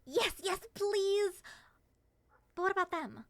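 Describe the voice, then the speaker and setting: A woman playing an excitable, affectionate moth monster girl in an ASMR roleplay, gushing and sweetly possessive. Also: very high voice, takes it slowly, no echo, heavily acted. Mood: cooing, eager.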